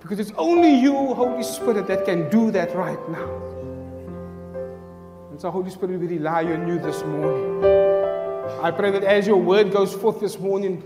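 A man in his thirties leading prayer and opening a sermon in a large church hall, loud and fervent, calling out to the congregation through a headset mic. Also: high-pitched, lively intonation, moderate pace, long pauses. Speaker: preacher leading worship. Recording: echoey church hall with live music.

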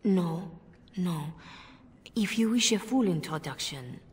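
Revered mother, very low soft voice, solemn and persuasive game dialogue in a reverberant hall. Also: lively intonation. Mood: calm, formal. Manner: measured, solemn. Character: composed, earnest Orlesian revered mother, gently persuasive. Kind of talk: video game cutscene acting. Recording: long reverberant hall echo.

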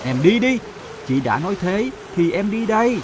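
A man voicing a proud, touchy young cloud in a cartoon fable, with a childlike, expressive delivery. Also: high voice, normal speed, background noise. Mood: pleading, huffy.

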